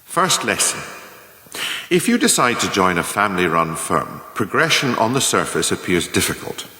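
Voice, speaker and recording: Radio play lecturer, played by a male actor: low voice, animated intonation, formal and calculating instruction. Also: exaggerated voice. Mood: measured, instructive. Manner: measured, didactic, formal, rhetorical. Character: male lecturer coolly teaching scheming career advancement. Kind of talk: scripted radio monologue as a lecture. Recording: echoey room with long reverb.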